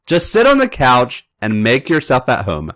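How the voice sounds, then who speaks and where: A man voicing a friendly young woman who is hosting a girls' night, gentle and a little hesitant. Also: medium pitch, huge pitch swings, moderate pace.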